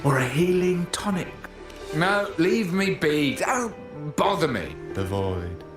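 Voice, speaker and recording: An actor playing a despairing, lovesick drunken poet in a video game, declaiming theatrically. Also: male voice, mid-range voice. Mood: frantic despair. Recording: roomy sound with music behind. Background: guitar music.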